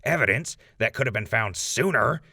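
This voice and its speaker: A man's voice: mid-range voice, animated, moderate pace, gravelly, bright, forceful tone, breathy edge, no echo, clean studio sound, exaggerated voice. A man playing a defiant, outspoken ghoul defendant in a post-apocalyptic audio drama, arguing his case in an impassioned, rambling way. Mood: insistent.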